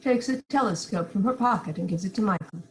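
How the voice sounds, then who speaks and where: A woman's voice: mid-range voice, brisk, a little mellow, a little hiss or hum, low-quality recording. A woman reading stage directions as narrator in an amateur script read-through.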